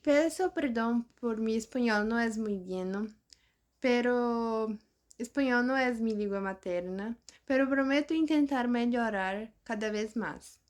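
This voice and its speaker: A woman hosts with a Brazilian Portuguese accent, speaking as a non-native in a scripted introduction. Her voice sits a little high. She goes slowly and haltingly from phrase to phrase. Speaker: channel host.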